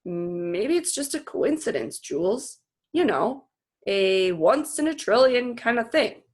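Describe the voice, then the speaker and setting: Woman as weary father, children's storybook, skeptical, reluctant, measured, slow delivery. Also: a little high, big pitch swings, darkish tone, pitched above the performer's own voice, full character voice. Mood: doubtful. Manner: doubtful, measured. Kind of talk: children's novel read-aloud.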